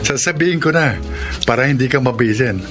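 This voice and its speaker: A man's voice: mid-range voice, normal speed, natural voice. An actor playing a kind, hesitant grown man, shy in love, with a Filipino accent in a radio drama. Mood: kindly. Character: kind, bashful long-single grown son, shy in love. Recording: radio drama with music underneath.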